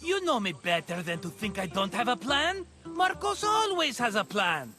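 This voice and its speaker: An actor playing a scheming, jovial merchant with an effusive, wheedling way of talking in a video game cutscene. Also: male voice, sits high, very animated, moderate pace, pushed and bright, soft background music, full character voice. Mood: cheerful, evasive. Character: scheming, jovial merchant turned amateur vineyard owner.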